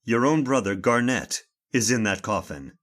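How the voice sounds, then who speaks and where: A man's voice: medium pitch, expressive, moderate pace, fairly bright tone, natural voice. Determined, inquisitive investigator, male actor: authoritative, formal, very varied intonation, radio thriller. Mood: stern. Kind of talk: old-time radio drama acting.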